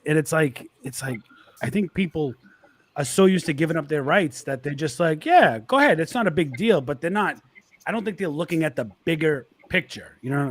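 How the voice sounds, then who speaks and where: A man from Boston with a Boston accent, a guest telling stories in casual chat, stammering and repeating words as he goes. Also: fairly high pitch.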